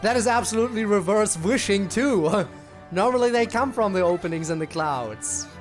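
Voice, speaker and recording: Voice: mid-range voice, lively intonation, moderate pace, faint music behind. A game streamer giving casual livestream commentary, full of wry, quippy asides.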